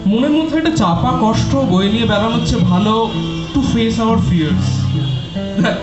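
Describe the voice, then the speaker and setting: Man acting a high-voiced caring college student, echoey. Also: animated, normal speed. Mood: earnest, resigned. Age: young adult. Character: caring male college friend, teasing, patient peacemaker. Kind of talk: audio drama acting. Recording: dull echoey recording under music.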